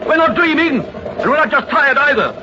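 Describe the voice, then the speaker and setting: A man's voice: very high voice, expressive, normal speed. A man playing a First World War cavalry officer in an old-time radio drama. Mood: agitated, near hysterical. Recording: muffled, noisy old radio recording.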